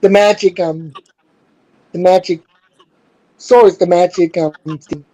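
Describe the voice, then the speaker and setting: A man's voice: high voice, steady tone, slow, dark tone, rough recording. A man from Houston hosting a casual stream chat, hesitant and groping for words while quizzing his guests. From Houston, Texas. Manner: hesitant, many fillers and restarts, quizzing guests. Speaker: stream host.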